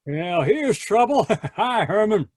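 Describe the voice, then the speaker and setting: An actor playing a folksy, easygoing country sheriff in an old-time radio drama, hemming and hesitant. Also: male voice, sits high, big pitch swings, a little mellow, husky, no room sound, studio quality, exaggerated voice. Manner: halting, hemming.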